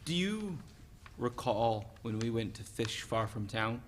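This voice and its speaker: Young man acting condemned prisoner, stage reading, anxious, loyal, questioning, stammering, medium pitch. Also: sing-song, a little slow, a little hiss or hum. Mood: sombre, grateful. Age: young adult. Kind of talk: dramatic reading of a stage play.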